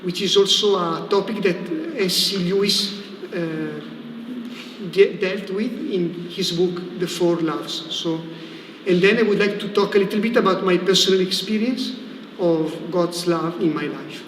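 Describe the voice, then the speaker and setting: A high-voiced Italian man speaks as a missionary priest in a livestream interview. His English has an Italian accent and he pauses to search for words. The room rings with long echo over faint noise. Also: a bit bright. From Italy. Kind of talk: conversational religious talk in a livestream interview.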